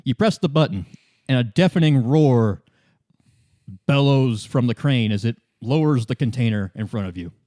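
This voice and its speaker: A man narrates a tabletop roleplaying game as its game master. His voice sits at an average pitch, and he improvises casually, with halting, good-humored phrasing. The recording is dry. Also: lively intonation, mellow, no room sound. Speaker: game master, podcast host.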